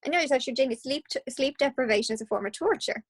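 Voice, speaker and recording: An Irish woman speaking as a guest hypnotherapist, passionate and animated, with quick restarts. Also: high-pitched, husky. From Ireland. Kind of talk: casual interview talk.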